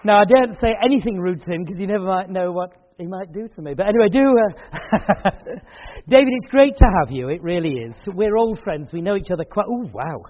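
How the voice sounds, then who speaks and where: A man's voice: high-pitched, expressive, a little fast, lo-fi sound. A man hosting a church event, chatting and joking with the audience in a hall. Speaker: event host and speaker. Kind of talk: casual chat at a church event.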